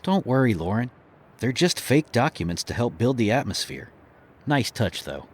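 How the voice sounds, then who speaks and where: A man's voice: mid-range voice, big pitch swings, normal speed. An actor playing a cocky, flirtatious, wisecracking male thrill-seeker in an audio horror drama, glib and teasing. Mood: teasing, dismissive.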